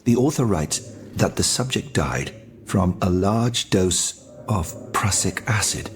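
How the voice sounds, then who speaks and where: A swooping, genteel French astronomer. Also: male voice, sits low, slow pace, soft background music. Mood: genial, thoughtful. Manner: expressive, fussy, genteel. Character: courtly, excitable, fatherly older French astronomer. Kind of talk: scripted video game cutscene dialogue.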